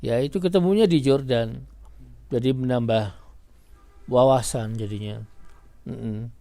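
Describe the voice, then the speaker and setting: Slow-speaking older Indonesian man. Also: mid-range voice, dark tone, no echo. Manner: slow, unhurried speech. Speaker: imam, interview guest. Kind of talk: interview answers.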